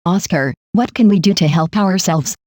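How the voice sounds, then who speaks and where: A woman's voice: lowish voice, moderate pace, darkish tone, no room sound, clean studio sound, close to an everyday voice. A woman playing a polite, composed radio news host in a scripted broadcast introduction.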